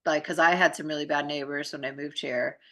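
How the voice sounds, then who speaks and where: Female talk guest, bright forceful edge with vocal fry, informal explainer. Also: low voice, fairly even intonation, brisk. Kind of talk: casual chat, explaining energy practices.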